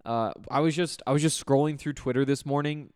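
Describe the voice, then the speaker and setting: A man's voice: medium pitch, steady tone, fairly quick, a little mellow, clean tone, no echo, studio quality. A man hosting a sports podcast in a casual, rambling way, his voice still recovering after losing it. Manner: rambling, conversational. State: recovering from voice loss; allergy flare-up. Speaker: podcast host.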